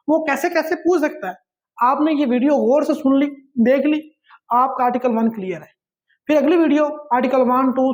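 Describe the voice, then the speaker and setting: Male Pakistani law lecturer, notably high-pitched, emphatic and repetitive exam-coaching delivery. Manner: repetitive, emphatic, drilling key points. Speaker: law teacher.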